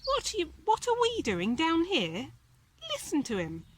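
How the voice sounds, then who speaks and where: A woman's voice: very high voice, wildly swooping pitch, measured pace, a little hiss or hum, pitched above the performer's own voice, heavily acted. A woman voicing a cheeky, mocking crow in a storybook, taunting and amused.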